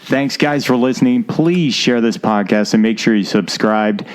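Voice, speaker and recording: A man's voice: mid-range voice, moderate pace. A man hosting a podcast in a conversational interviewing style. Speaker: podcast host.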